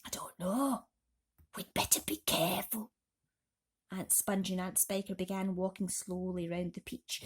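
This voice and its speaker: A woman's voice: on the low side, vocal fry, a little mellow. A woman reading a children's bedtime story expressively, with dramatic emphasis, as a host talking to young listeners.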